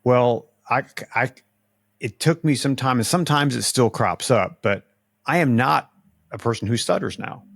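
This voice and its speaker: A middle-aged man hosting a podcast, speaking slowly and deliberately in a casual conversation. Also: mid-range voice, creaky, no room sound, studio quality. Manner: slow, deliberate speech, says he talks slowly to avoid stammering. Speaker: podcast host.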